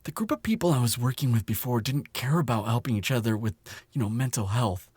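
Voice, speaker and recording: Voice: medium pitch, expressive, a little fast, darkish tone, husky, no room sound. A performer playing a nervous, kind lab assistant in an audio roleplay drama, hesitant and stammering. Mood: earnest, subdued.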